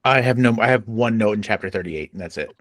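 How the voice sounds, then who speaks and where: A podcast co-host with a mid-pitched voice, recorded dry and close. The delivery is casual, playful banter that drifts into tangents. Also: lively intonation, quick pace, no room sound. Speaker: book club podcast co-host.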